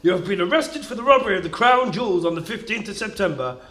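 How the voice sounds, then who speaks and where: Man playing a high, forceful, pompous judge. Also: expressive, quick pace. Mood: stern. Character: stern, much-feared courtroom judge. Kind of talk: comic radio play acting.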